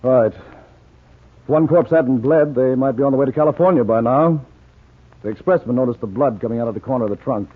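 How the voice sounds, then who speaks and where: An actor playing a terse, unsentimental American police doctor in a 1940s radio drama, matter-of-fact in delivery. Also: male voice, mid-range voice, brisk, lightly acted. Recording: tinny 1940s radio recording.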